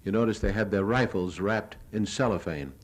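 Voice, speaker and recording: Older male, American, lowish voice. Reporter's measured, plain-spoken lecture narration of war film, archival 1970s recording. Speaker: newsreel reporter giving a lecture.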